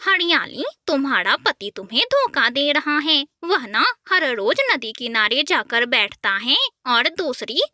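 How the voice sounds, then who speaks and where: A woman's voice: on the high side, pushed and bright, dry recording, studio quality, voice pushed down, full character voice. A woman voicing a scheming, gossipy female crow in a cartoon, with a sly, meddling manner. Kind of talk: cartoon voice acting.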